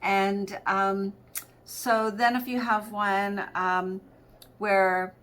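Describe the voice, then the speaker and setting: A woman's voice: mid-range voice, flat delivery, very slow, fairly bright tone, clean tone. Middle-aged woman giving an informal training talk. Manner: conversational, anecdotal, acts out example phone greetings. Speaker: practice management coach presenting a training episode.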